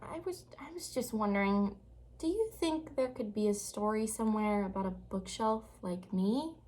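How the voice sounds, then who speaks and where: A woman's voice: medium pitch, moderate pace, darkish tone, natural voice. A woman voicing a shy, kindly little male bookshelf in a storybook, timid and earnest. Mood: timid, wondering. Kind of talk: storybook character voice.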